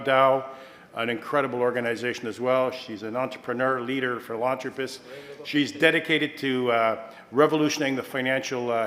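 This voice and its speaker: Middle-aged guy from kenya moderating a conference panel on a hall mic, mid-pitched, long echo tail, lots of uhs. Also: a bit bright. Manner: measured, with frequent "uh" fillers and self-corrections.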